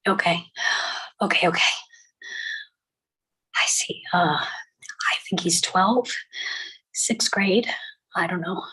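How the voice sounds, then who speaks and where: Grieving mother for a drama read, played by a woman: slow, husky, halting, tender yet anguished. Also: on the low side, sharp tone, exaggerated voice, rough recording. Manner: halting, emotional, drops into whispers. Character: grieving mother recalling her young son, anguished but tender. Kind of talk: dramatic table read of a screenplay.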